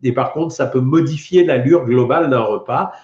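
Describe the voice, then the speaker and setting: A man's voice: medium pitch, normal speed, a little mellow. Middle-aged French man, doctor, stuffy from a cold, hesitant chatty delivery; roomy, dull lo-fi stream sound. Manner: chatty and explanatory, many hesitations. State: has a head cold. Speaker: doctor hosting a weight-loss live stream. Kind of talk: casual live stream talk answering viewer questions.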